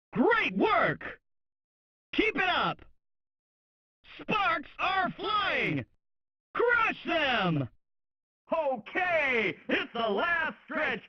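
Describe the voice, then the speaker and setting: Arcade racing game announcer, excited and brash, slow emphatic delivery, punchy phrases, dull lo-fi game audio. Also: very high-pitched, dry recording, heavily acted. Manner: exclamatory, punchy short phrases. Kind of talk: video game race announcer voice clips. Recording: muffled, lo-fi game sound rip.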